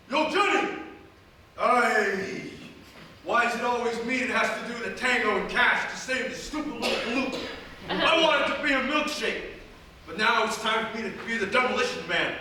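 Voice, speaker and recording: A man's voice: very high-pitched, pushed and bright, full character voice. A man playing a tough Italian action-hero cat in live comedic radio theatre, with streetwise slang and grandiose pep talk. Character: tough male Italian tomcat, brooding boxer-style action hero. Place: theatre stage in front of audience. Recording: echoey live theatre stage, distant, faint noise.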